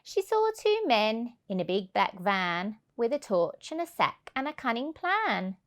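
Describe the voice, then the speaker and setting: A woman's voice: on the high side, big pitch swings, measured pace. A woman narrating a children's storybook, reading aloud as for young children.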